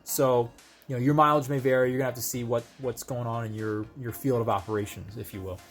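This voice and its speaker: A man's voice: medium pitch, fairly even intonation, fast talker, soft background music. A man giving a casual, conversational hands-on product demonstration, explaining things step by step as a video host.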